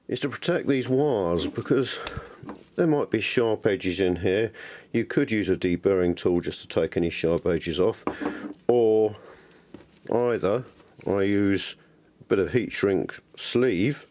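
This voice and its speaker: A man with a lowish voice presents a hands-on tutorial. He is chatty and keen, explaining each step informally as though thinking aloud. The delivery is that of an enthusiastic hobby teacher. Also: dark tone.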